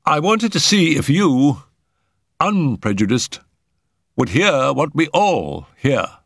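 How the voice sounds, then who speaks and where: A man voicing an anxious, frightened gentleman in an audiobook, earnest, agitated and halting. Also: fairly high pitch, very animated, no room sound, studio quality, pitched above the performer's own voice, full character voice. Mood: guarded, earnest. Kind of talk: audiobook character acting.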